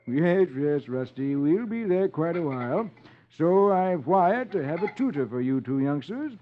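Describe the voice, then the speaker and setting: Thin noisy vintage radio, genial gentleman boss, highish voice, businesslike, slightly halting. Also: sing-song. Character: busy, genial gentleman horse owner and boss. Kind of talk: 1950s radio comic-strip dramatization. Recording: tinny, noisy old radio recording.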